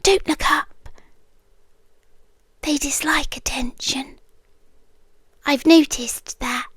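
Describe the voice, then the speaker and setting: An eerie, possessive lady doctor in an ASMR roleplay, acted by a woman, with a high, soft British voice that often sinks to a whisper. She speaks slowly and tenderly, very close and dry, with no room around her. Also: fairly even intonation, no echo. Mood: hushed, wistful. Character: eerie, tender, possessive female doctor haunting an empty hospital.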